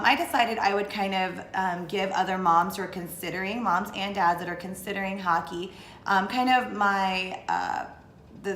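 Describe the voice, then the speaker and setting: A woman's voice: mid-range voice, a bit bright, a little hiss or hum. A woman in her thirties, originally from Sri Lanka, chatting casually to camera in a vlog, rambling with lots of fillers. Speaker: blogger and vlogger. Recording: echoey room.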